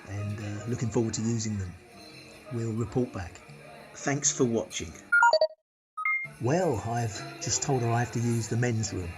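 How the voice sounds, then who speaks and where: A man's voice: mid-range voice, close to an everyday voice. An actor with a British accent playing a self-satisfied, penny-pinching money-saving vlogger who chats matter-of-factly to his viewers in a radio play. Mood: smug, matter-of-fact. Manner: chatty, matter-of-fact, addressing viewers. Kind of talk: radio play monologue as a vlog.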